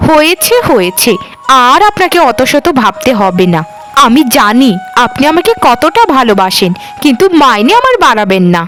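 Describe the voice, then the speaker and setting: A woman's voice: on the high side, big pitch swings, normal speed, fairly bright tone, faint music behind, rough recording. A woman voicing a stubborn but caring young housemaid in a cartoon, with an argumentative manner. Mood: exasperated, caring. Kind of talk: cartoon voice acting.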